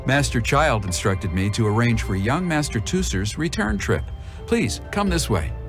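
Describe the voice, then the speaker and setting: A formal male servant played by a man addresses his young master with stiff politeness in a mid-range voice that swings widely in pitch. He is deferential and proper. Game music runs behind. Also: very animated, normal speed, natural voice. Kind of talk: video game cutscene voice acting.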